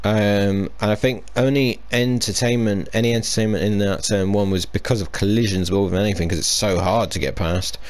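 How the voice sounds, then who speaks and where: Chatty podcast host, lowish voice. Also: male voice, steady tone, brisk, few pauses. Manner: chatty, hesitant, with many fillers and restarts. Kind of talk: casual chat.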